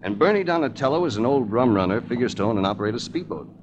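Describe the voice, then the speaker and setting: An actor playing a shrewd, terse, confident investigator in a 1940s American radio detective drama. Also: male voice, mid-range voice, lively intonation, fast, highs cut off, natural voice. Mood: cool, deductive. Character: shrewd, hard-boiled male insurance investigator. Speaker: lead actor. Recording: noisy old 1940s radio recording.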